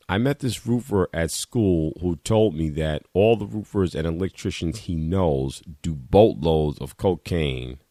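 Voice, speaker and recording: A man's voice: low voice, darkish tone, no room sound, clean studio sound. A man reading a short first-person literary piece aloud as a reader at a literary event.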